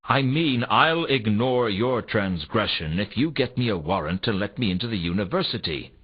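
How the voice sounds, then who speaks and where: An actor playing a world-weary, sardonic private detective in a noir video game, with a wry, deadpan, pressing delivery. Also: male voice, on the low side, moderate pace, slightly rough. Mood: pressuring, sly. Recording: dull, muffled old console game audio.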